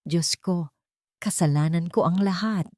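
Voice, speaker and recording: A man's voice: fairly high pitch, a little slow, a little mellow, dry recording, studio quality, lightly acted. A woman voicing a tired, confused grown son burdened with guilt in a dramatic love story. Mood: broken guilt. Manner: tired, subdued.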